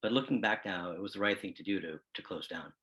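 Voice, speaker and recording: Man as a reflective, lowish-voiced school staffer. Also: fast talker, a bit bright, highs cut off. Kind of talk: conversational documentary theater.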